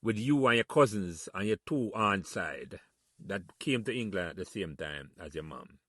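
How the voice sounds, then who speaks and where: A man interviews a guest, winding through a long question with stammered starts. His voice sits fairly low, and the sound is dry and close. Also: lively intonation, moderate pace, fairly bright tone, dry recording. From Jamaica. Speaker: interview host. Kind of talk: casual interview.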